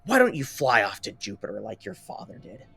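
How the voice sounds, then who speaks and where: A man voicing a bitter teenage boy hero who insults a classmate in a low, cutting voice. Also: wildly swooping pitch, a little fast, vocal fry, bright, forceful tone, slightly rough, soft background music, full character voice. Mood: venomous.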